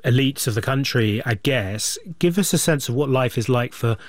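A man interviewing a guest in a conversational broadcast style, asking measured, probing questions attentively. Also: medium pitch, animated, no room sound, studio quality.

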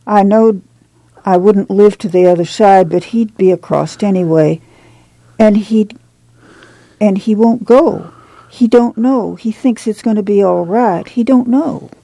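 A woman voicing a frail, dying migrant wife, gentle but insistent. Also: medium pitch, a little slow, gravelly, dry recording. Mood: resigned.

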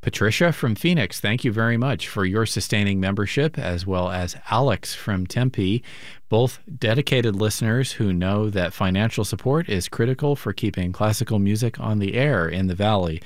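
A male radio co-host making a pledge drive appeal and thanking listeners warmly. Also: lowish voice, moderate pace, no room sound, clean studio sound.

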